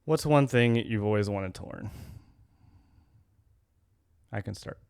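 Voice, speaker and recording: A man's voice: fairly low pitch, takes it slowly, gravelly, darkish tone, clean tone, no echo, studio quality. A young adult man co-hosting a casual podcast, rambling and correcting himself as he talks. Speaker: podcast co-host.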